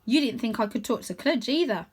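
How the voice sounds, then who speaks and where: A naive young tomcat hero from a children's storybook, acted out by a woman. The voice is high and very lively, earnest and questioning, with a kind heart. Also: a little fast, husky. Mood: indignant.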